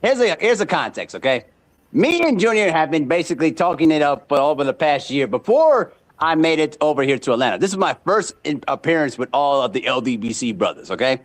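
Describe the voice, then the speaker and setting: Animated, boastful man in his thirties. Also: on the high side, brisk. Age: 30s. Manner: boastful trash talk, repeats himself. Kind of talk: casual podcast banter.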